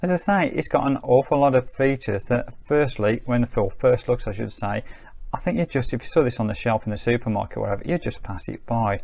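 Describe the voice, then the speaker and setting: A man's voice: medium pitch, expressive, a little fast, vocal fry, a little mellow, no room sound. A man giving a casual hands-on gadget review in a chatty, unscripted, explanatory way. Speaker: product reviewer.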